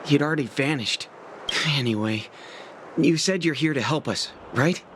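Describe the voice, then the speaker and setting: A man's voice: medium pitch, moderate pace, a little hiss or hum, lightly acted. A man voicing an anxious, frank and weary young apprentice craftsman in a video game, with a light youthful voice. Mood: anxious, distressed. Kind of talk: video game character acting. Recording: loud game music behind voice. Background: game music.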